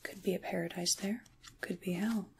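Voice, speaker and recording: A woman playing an ancient, kindly witch in a soft-spoken ASMR role-play, with breathy, whispery speech and a musing, wry, gently reassuring manner. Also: lowish voice, fairly even intonation, moderate pace, background noise, lightly acted. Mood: soothing, reflective.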